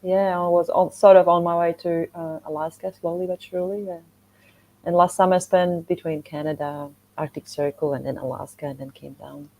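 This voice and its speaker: A woman with a Polish accent and a low-pitched, soft-edged voice. She talks in a chatty, animated way, like a guest casually telling travel stories. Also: a little fast, slight background noise, poor audio. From Poland. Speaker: podcast guest, motorcycle traveller and content creator. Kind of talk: casual conversational storytelling.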